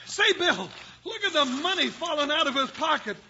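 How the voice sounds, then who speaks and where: An American man playing an excitable, heavyset comic sidekick in an old radio western, with a squawky voice. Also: very high-pitched, moderate pace, gravelly, full character voice. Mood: comic complaining. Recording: noisy, muffled old radio recording.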